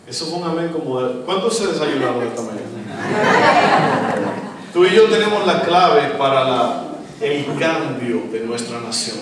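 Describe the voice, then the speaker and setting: A middle-aged Dominican man with a Dominican Spanish accent, preaching a sermon as guest pastor, animated and asking the congregation questions. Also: medium pitch, moderate pace, long pauses, distant mic, very noisy. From Dominican Republic. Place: church stage, indoors, large hall. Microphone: handheld mic. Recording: echoey hall, recorded from afar.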